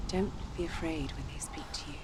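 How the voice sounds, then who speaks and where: An actress playing a gentle, protective mother priestess and seer in a video game, speaking in a hushed, soothing way. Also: low voice, a little fast, lightly acted. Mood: soothing, solemn. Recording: distant voice under loud noise.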